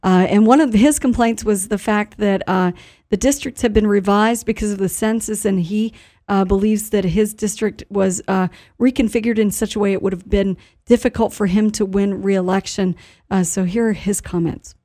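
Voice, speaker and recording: A woman presents a local radio news recap. Her voice is mellow and sits at a middle pitch, and she introduces recorded clips in a conversational manner. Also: steady tone, normal speed.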